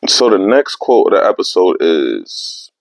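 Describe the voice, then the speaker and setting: A young man hosting his own podcast, talking in a casual, animated way with streetwise slang. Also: deep voice, measured pace, no room sound. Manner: animated, streetwise slang, repeats "you know what I mean". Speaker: podcast host. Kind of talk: casual solo podcast monologue. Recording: dry, slightly dull lo-fi sound.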